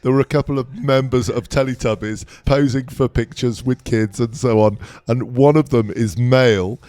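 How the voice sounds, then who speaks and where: A man's voice: mid-range voice, fairly even intonation, moderate pace, dark tone, dry recording. Chatty older man co-hosting a podcast. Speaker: podcast co-host. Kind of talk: casual podcast chat.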